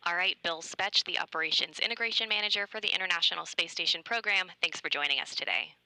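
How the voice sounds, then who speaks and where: A woman's voice: on the low side, animated, quick pace, pushed and bright, no echo. A woman hosting live broadcast coverage, presenting in a scripted announcer style. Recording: very noisy relayed broadcast audio.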